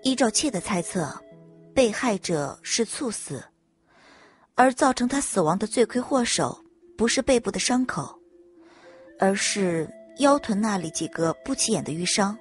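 A woman voicing a calm, serious young noblewoman forensic doctor in an audio drama, measured and formal. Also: mid-range voice, soft background music, natural voice.

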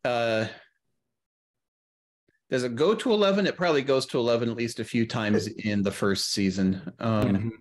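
A middle-aged man chatting casually as an interview guest on a video call, thinking aloud in a halting way. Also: medium pitch. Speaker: guest, audio drama creator. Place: small room on a video call.

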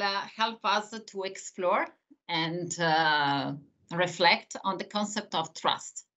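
A woman's voice: on the low side, fairly bright tone. A woman hosting a webinar over a video call, introducing her guest with a hesitant delivery full of fillers. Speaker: host. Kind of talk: webinar moderation.

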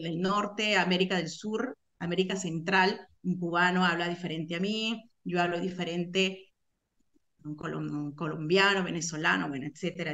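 A middle-aged Peruvian woman with a Peruvian Spanish accent walks teachers through course material on a video call. Her voice sits somewhat low, and she pauses often on fillers and restarts her phrases as she explains. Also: bright, forceful tone. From Peru. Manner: hesitant, many fillers and self-corrections. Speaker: Spanish teacher presenting a webinar. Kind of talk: webinar presentation.

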